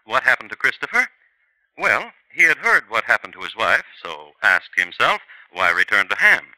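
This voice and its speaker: A man's voice: on the low side, sing-song, moderate pace, pushed and bright, no echo, low-quality recording. A man narrating a 1950s radio crime drama in a dry, wry storytelling manner.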